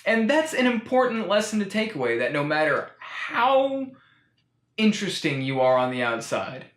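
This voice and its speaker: A man's voice: high-pitched, big pitch swings, moderate pace, a bit bright. A man hosting a comedic storytime show, giving a rambling, wry, mock-serious monologue. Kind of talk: comic monologue reviewing a children's book. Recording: roomy echo, music underneath.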